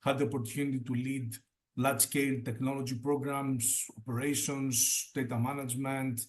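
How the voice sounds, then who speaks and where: A man in his forties from Greece, a native Greek speaker, chatting as an interview guest and often restarting his phrases. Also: medium pitch. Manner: restarts phrases often, fillers like "you know". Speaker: guest startup advisor. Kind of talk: casual interview chat.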